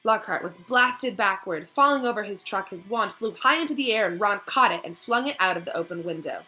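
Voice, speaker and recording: A woman narrating a storybook aloud in an expressive read-aloud style. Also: mid-range voice, fairly quick, husky.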